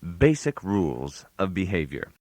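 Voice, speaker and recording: A put-upon, fussy host delivers a comic radio monologue in a low, close and dry voice. He is precise and mock-formal, handing out genteel etiquette advice with dry wit. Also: very animated, unhurried, darkish tone, no room sound, full character voice. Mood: mock-instructive. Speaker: narrator. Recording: analog radio aircheck.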